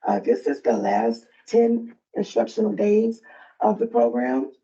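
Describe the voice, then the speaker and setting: A woman with a soft, raspy voice presenting updates at a provider meeting in a friendly, loose way. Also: medium pitch, sing-song, measured pace, muffled recording. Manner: friendly, loose, with frequent fillers. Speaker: early learning coalition staff presenter.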